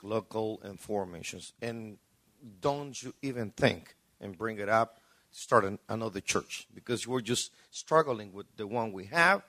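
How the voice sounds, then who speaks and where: Male pastor on a panel, fairly deep, emphatic and repetitive phrasing, little room sound. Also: fairly bright tone, no room sound. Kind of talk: panel answer, preacherly.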